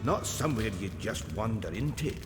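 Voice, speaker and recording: A man's voice: lowish voice, animated, moderate pace, lightly acted. A man playing an eccentric, kindly old alchemist in a video game, lilting and theatrical. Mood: chatty, wary. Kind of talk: video game character acting. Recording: echoey reverberant sound with music behind.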